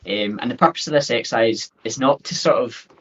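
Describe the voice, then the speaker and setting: A man in his thirties with a thick Scottish accent, giving an upbeat, quick webinar talk full of fillers and restarts. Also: medium pitch, a bit bright, breathy edge. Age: 30s. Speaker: guest speaker. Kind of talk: webinar presentation. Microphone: video call. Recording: dull lo-fi call audio.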